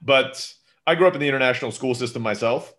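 A man in his thirties talks as a podcast guest at a rapid clip. His delivery is animated and casual, with frequent laughter, as if over a remote call. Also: mid-range voice. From Turkey. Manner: fast, animated, laughs often. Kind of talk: casual interview chat.

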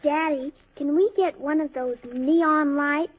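Earnest little american daughter, 50s radio comedy, super high voice, muffled old recording. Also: female voice, steady tone, takes it slowly. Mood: hopeful. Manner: earnest, childlike. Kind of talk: 1950s radio sitcom acting.

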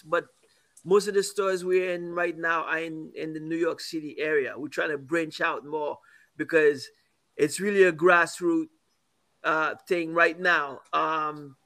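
A man in his fifties from Haiti, a coffee business owner, talking casually in an interview with halting, repeated words. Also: on the high side, sharp tone. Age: 50s.

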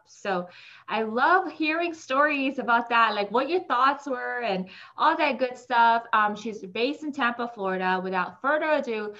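A woman's voice: on the high side, fairly bright tone, muffled recording. A woman hosting a podcast, opening the show and asking her guest friendly, encouraging questions. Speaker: podcast host. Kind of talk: podcast intro and interview.

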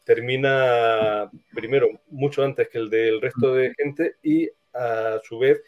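A man from the screenwriting trade talks through his answers. He is wordy and hesitant, pausing to think, at a medium pitch. The recording is slightly dull and lo-fi. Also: fairly even intonation. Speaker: screenwriter being interviewed. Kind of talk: casual interview answers. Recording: dull, lo-fi sound.

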